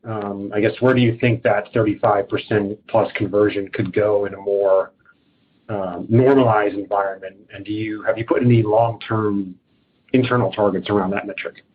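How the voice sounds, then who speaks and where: A man's voice: on the low side, steady tone, moderate pace, slightly rough. A man speaking as a financial analyst, asking questions on an earnings call. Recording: tinny phone line, faint noise.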